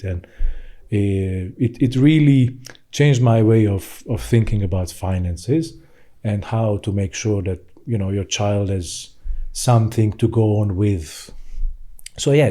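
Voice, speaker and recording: Male, Hungarian accent, very mellow dark tone, lowish pitch, podcast guest, conversational storytelling. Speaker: podcast guest, financial services professional. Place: podcast studio.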